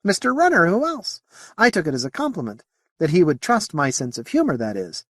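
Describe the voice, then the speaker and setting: Man voicing a high, playful female alien. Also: wildly swooping pitch, fairly quick, fairly bright tone, dry recording, clean studio sound, heavily acted. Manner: chatty, teasing, candid. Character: playful, candid female alien mimicking a young officer.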